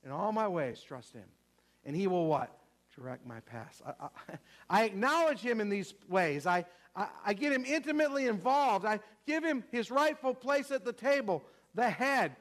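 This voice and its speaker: A man's voice: sits high, animated, normal speed, fairly bright tone. A man preaching a sermon emphatically, repeating phrases and putting questions to the congregation.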